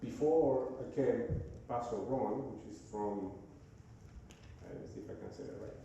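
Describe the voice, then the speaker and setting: A man with a Venezuelan Spanish accent preaches a sermon slowly, stopping to hunt for words. His voice is low and mellow. It sounds like he's well away from the mic in a big echoey church, with a faint hiss behind him. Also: wildly swooping pitch, gravelly. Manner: halting, searching for words, frequent "uh" and restarts. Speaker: guest preacher. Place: church sanctuary. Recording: echoey hall from far off.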